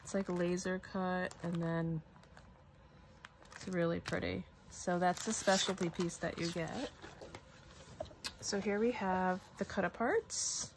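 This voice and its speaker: Slow-paced, chatty woman unboxing crafts. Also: on the low side, fairly even intonation, a little hiss or hum. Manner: chatty, musing aloud to herself. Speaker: crafter showing a product haul. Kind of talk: casual unboxing commentary. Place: indoors at a craft table.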